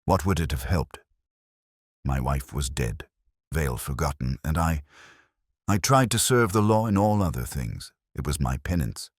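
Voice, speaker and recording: A male narrator plays a weary old magistrate from a detective story, burdened with guilt. The voice is very low yet lifts and falls markedly, confessing in halting, formal phrases. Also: expressive, husky, no echo, clean studio sound, full character voice. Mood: resigned.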